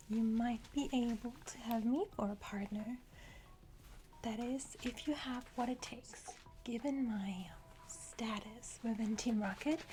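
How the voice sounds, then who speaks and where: A woman's voice: on the high side, mellow. A woman playing a self-important young criminal henchwoman in an ASMR roleplay, whispering breathily and hesitantly close to the microphone. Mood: boastful, coy. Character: boastful, eager young female criminal henchwoman.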